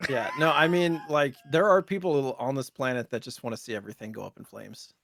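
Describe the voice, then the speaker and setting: A man's voice: mid-range voice, a little fast, gravelly, fairly bright tone, a little hiss or hum. A man co-hosting a podcast, talking casually in loose, hesitant phrases padded with filler. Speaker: podcast co-host. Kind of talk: casual chat.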